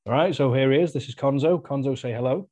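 A man hosting a podcast, chatty and relaxed, with lots of fillers and easy laughs. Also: mid-range voice, fast talker. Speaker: podcast host. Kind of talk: casual interview chat.

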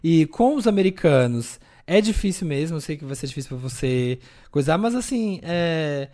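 A man, co-hosting a podcast in animated, chatty conversation full of fillers. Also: fairly high pitch, moderate pace. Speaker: podcast co-host. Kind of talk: casual chat.

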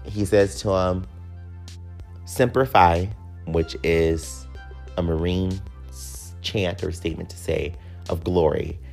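A man's voice: sits low, slow, creaky, long pauses, darkish tone, faint music behind. A man hosting a podcast, talking casually and candidly in a personal, conversational monologue with some casual swearing. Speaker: podcast host.

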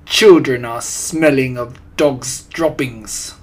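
A man's voice: medium pitch, measured pace, slightly rough. Man voicing a tyrannical, thundering witch leader. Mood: disgusted, screaming. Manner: imperious, thundering, broken English. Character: tyrannical, ranting female head witch with foreign grammar. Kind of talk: audiobook character voice.

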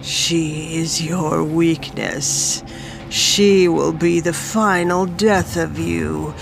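A woman's voice: low-pitched, noisy background. A woman voicing a sadistic male monster, a dragon lord who taunts and gloats ominously in a fantasy audiobook. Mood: gloating triumph. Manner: ominous, vicious, deliberate taunting. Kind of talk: audiobook fantasy villain dialogue.

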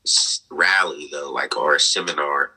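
A young man in his twenties with a very deep, bright and husky voice. He tells his story earnestly, pausing on fillers and laughing softly. He talks over a remote call. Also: rough recording. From United States. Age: 20s. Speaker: guest. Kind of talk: conversational interview, personal story.

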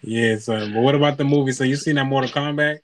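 A man co-hosting a casual chat show, telling lively anecdotes and acting out the other people's lines. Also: medium pitch, fast, dry recording. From Haiti (parents), raised in the US. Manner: animated storyteller, acts out voices in anecdotes. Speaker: podcast co-host.

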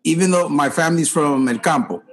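A man's voice: a little high, a little fast. A man from New York hosting a casual podcast, easygoing, asking questions with frequent restarts. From New York, United States. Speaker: podcast host.

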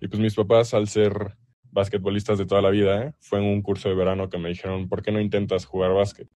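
A young man with a low-pitched voice and a Mexican accent speaks casually, as a player being interviewed. The sound is dry, with very little room around it. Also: little pitch movement, quick pace, mellow, dry recording. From El Salvador.

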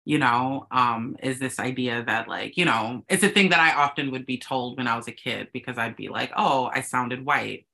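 A guest with a mix of West Indian and American accent, chatting casually, animated and swearing freely while telling stories. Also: mid-range voice, moderate pace, vocal fry, hardly any pauses. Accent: mixed West Indian and American. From United States, West Indian family. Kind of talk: casual chat.

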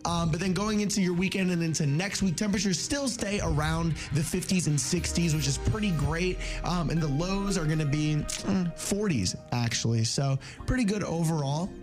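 A man's voice: a little high, normal speed, few pauses, music in the background. A man hosting a morning radio show, chatting loosely with lots of fillers. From New Jersey. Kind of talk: casual morning radio banter.

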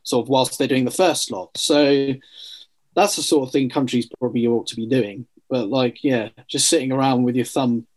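Blunt, animated man. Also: medium pitch, moderate pace, poor audio. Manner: blunt, animated, colloquial, with analogies. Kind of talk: casual discussion.

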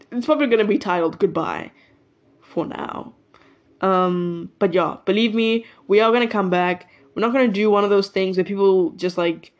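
Rambling young woman podcaster, lo-fi. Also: mid-range voice. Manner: rambling, chatty, self-interrupting. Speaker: podcast host. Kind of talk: casual solo podcast chat. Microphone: mic plugged into a phone.

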